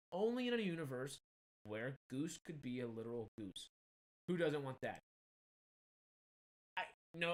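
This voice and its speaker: A man's voice: medium pitch, a bit bright. Casual man with a slight Texan accent. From Texas. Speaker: film news show host. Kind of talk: casual commentary on film news, reading articles aloud.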